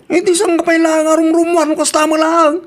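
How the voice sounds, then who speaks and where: A woman's voice: extremely high pitch, level tone, normal speed. A woman acting a hospitable, exasperated husband in an Ilocano-accented radio drama.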